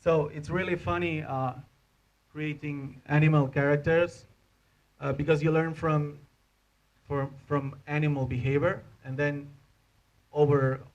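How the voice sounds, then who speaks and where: A man in his thirties with a Spanish accent gives an informal conference talk as an animator, speaking halting non-native English into a handheld microphone. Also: on the high side, flat delivery, muffled recording. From Spain. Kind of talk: informal presentation to an audience. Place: indoor hotel conference room.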